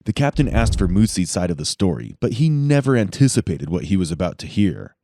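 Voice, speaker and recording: Dark, dry-voiced male narrator. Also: low-pitched, big pitch swings, dry recording. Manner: measured, dramatic storytelling. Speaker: documentary narrator. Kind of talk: scripted true-crime narration.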